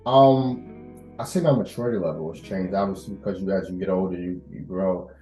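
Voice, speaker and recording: A man in his thirties talking casually as an interview guest, animated and expressive, repeating phrases for emphasis. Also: low voice, brisk. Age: 30s. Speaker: podcast guest, air traffic controller. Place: indoors at host's house. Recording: roomy echo, faint music behind.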